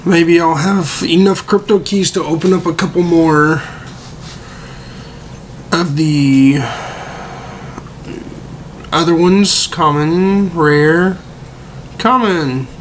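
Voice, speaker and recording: A young man with a slightly hoarse voice, as if he is losing it, giving laid-back gameplay commentary with a mildly let-down tone. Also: on the high side, extremely slow, pauses often, slight background noise. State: losing his voice. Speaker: gaming video creator.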